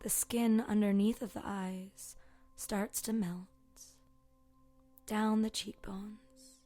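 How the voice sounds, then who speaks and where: A woman leading a guided meditation as a yoga teacher, speaking softly and soothingly with long pauses and a voice that can trail toward a breathy whisper. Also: mid-range voice, level tone, dry recording. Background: soft meditation music with singing bowl tones.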